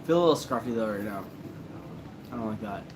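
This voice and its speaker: A man hosting a casual livestream, relaxed and joking as he reads comments after a few drinks. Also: on the low side, quick pace, noisy background. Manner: relaxed, joking, a little tipsy. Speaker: host of a paranormal channel livestream.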